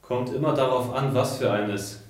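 A man's voice: medium pitch, steady tone, moderate pace, lightly acted. An actor as an earnest, proud young-hearted revolutionary man. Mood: cold, defiant. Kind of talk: German radio play acting.

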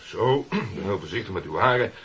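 An actor in a radio play, playing a matter-of-fact, slightly impatient radio producer giving instructions in a husky voice. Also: male voice, on the low side, animated, fairly quick, a bit bright, exaggerated voice. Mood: curt, practical. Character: businesslike, impatient male radio producer coaching an amateur. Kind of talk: naturalistic radio drama acting. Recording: slightly dull radio drama recording.

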